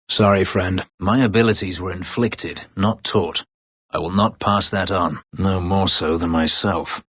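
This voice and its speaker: An actor playing a brooding, bitter escaped-slave elf warrior in a fantasy video game, terse, guarded and drily sardonic, with a gravelly voice. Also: male voice, fairly bright tone, dry recording, clean studio sound. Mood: sorrowful. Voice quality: gravelly, husky low voice. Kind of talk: dramatic fantasy video game character dialogue.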